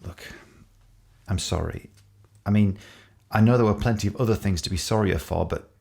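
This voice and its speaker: An actor playing a regretful, self-doubting boyfriend in an intimate audio drama, speaking haltingly and apologetically. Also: male voice, a little mellow. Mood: remorseful. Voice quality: low gravelly voice. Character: Simon, regretful, self-doubting boyfriend near the world's end.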